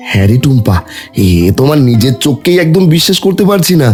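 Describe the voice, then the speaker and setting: A man's voice: fairly high pitch, very animated, fast, darkish tone, faint music behind. A man doing a kind cartoon village father. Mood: amazed. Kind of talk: Bengali cartoon dubbing.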